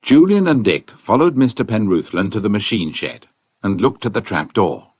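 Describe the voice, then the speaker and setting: Casting: man for children's adventure narration, low-pitched, dramatic and varied, dry studio sound. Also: normal speed, breathy edge, no room sound. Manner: expressive, dramatic storytelling. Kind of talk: scripted storybook narration.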